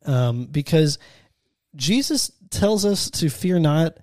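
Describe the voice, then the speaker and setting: A man co-hosting a casual faith podcast, talking earnestly with halting restarts. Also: a little high, lively intonation, darkish tone, dry recording, studio quality. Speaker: podcast co-host.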